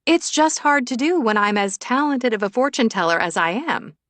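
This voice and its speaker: A woman's voice: fairly high pitch, sing-song, moderate pace, fairly bright tone, dry recording, pitched above the performer's own voice. A woman voicing a playful, teasing, meddling grandmother in an audiobook. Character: cheeky, meddling grandmother teasing about romance.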